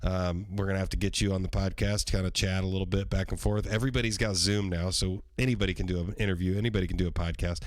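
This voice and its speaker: Hoarse low-voiced man, rambling casually. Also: fairly even intonation, quick pace, hardly any pauses, no echo, clean studio sound. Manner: rambling and profane, with many ums. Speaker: podcast host. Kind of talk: casual solo podcast livestream.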